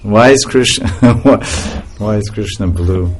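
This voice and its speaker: A man's voice: fairly low pitch, unhurried, a little hiss or hum. A man giving an informal spiritual talk to a group, laughing often as he tells stories. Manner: laughing often, animated storytelling.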